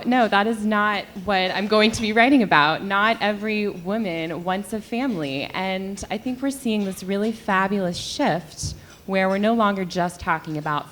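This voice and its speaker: A woman's voice: medium pitch, fairly bright tone, a little hiss or hum. A young woman speaking candidly as a panelist at a luncheon, conversational with frequent fillers. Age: young adult. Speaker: panelist, sex and relationship editor. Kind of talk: panel discussion remarks. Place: luncheon event hall.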